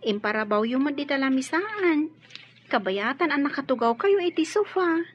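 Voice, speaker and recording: High, meek young maid played by a woman. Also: sing-song, moderate pace, lo-fi sound, soft background music. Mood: polite, caring. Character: young housemaid girl, meek, polite and dutiful. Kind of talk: Ilocano audio drama acting.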